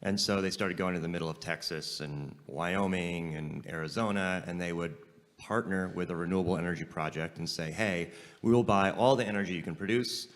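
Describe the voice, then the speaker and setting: A man, a company CEO, speaking on a conference panel in a relaxed, joking way while explaining technical ideas plainly. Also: low-pitched, a little fast. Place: large conference hall, stage. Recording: long hall reverb.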